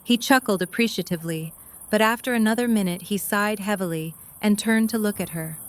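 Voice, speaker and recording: Narrator: woman, mid-range pitch, scripted storytelling for an audio drama. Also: a little fast.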